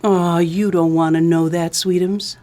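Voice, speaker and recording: A woman plays a scheming widow from a comic noir radio play. Her voice sits fairly low, and she talks in a coy, cloyingly flirtatious femme fatale manner. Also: flat delivery, a little slow, mellow, close to an everyday voice. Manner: coy, sweet-talking with pet names.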